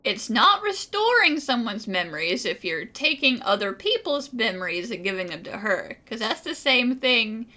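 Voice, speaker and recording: A woman's voice: on the high side, big pitch swings, normal speed, few pauses, bright, forceful tone. A woman giving casual, off-the-cuff commentary while playing a video game. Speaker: gamer commentator.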